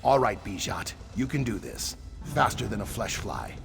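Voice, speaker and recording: An actor voicing a furtive, nervous lizard-folk relic hunter who looks out for himself, in a fantasy video game. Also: male voice, lowish voice, sing-song, normal speed, gravelly. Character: shifty, self-preserving male lizard-folk relic hunter with a tail. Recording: music behind the voice. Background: game music.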